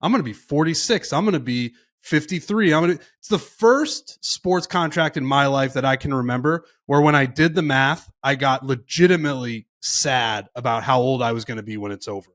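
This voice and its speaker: A man's voice: medium pitch, fairly quick, few pauses, a bit bright. A man co-hosting a podcast, talking in a wry, reflective way in casual sports chat. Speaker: podcast co-host.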